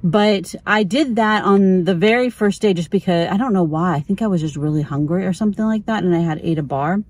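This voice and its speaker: A woman's voice: fairly low pitch, brisk, darkish tone, no echo. A middle-aged woman chatting casually as a vlogger, informal and candid, often thinking aloud. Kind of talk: casual chat.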